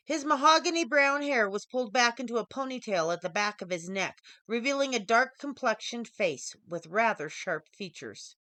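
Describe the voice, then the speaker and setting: A woman's voice: fairly high pitch, pushed and bright, no echo. A woman author reading her own short story as scripted audiobook narration.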